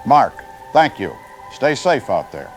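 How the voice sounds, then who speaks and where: Man as expressive, serious news anchor. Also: mid-range voice, faint music behind. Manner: measured, formal, concerned. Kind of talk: audio drama news broadcast.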